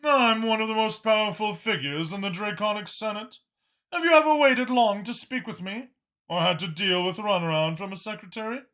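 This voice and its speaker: A man voicing a powerful, patient dragon senator who explains things in a measured, self-assured way. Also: high voice, sharp tone, voice pushed up. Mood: pointed.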